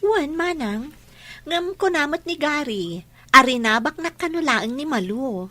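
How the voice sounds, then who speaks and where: A woman's voice: high voice, animated, a little slow, pushed and bright, dry recording, full character voice. A woman playing an affectionate young woman being courted, acted in the style of a radio comedy drama. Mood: earnest, hesitant.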